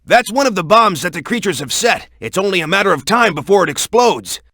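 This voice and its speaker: A hot-headed young male video game hero with a high, bright voice. He is brash and urgent, swinging widely in pitch as he punches out his lines. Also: lively intonation, fairly quick, no echo, studio quality, heavily acted. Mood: focused, explaining. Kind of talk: video game character voice clips.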